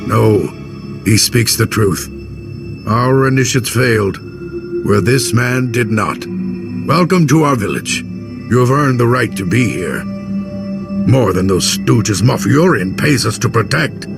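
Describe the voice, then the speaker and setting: A gruff, gravel-throated clan warrior in a video game. He is proud and stern, with plain-spoken authority. Loud dramatic music surrounds the voice. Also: mid-range voice, lively intonation, slow pace, long pauses. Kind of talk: video game character acting.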